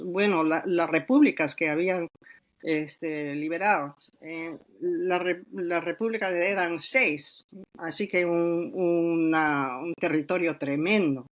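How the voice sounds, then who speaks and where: An older woman from Peru, an author speaking in a podcast interview, thoughtful and reflective, pausing often to find her words. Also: fairly low pitch. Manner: thoughtful, reflective, with frequent hesitations. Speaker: author guest.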